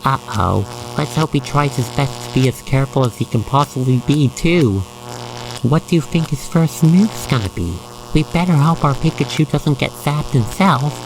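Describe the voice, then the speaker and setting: An expressive narrator guiding the listener through an ASMR roleplay story in a gushing, sentimental manner. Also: mid-range voice, normal speed, noisy background.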